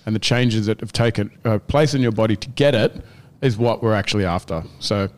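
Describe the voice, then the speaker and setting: Rambling low-voiced man. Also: brisk. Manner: rambling, restarts often, searches for words. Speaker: gym owner and podcast co-host. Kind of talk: casual podcast chat.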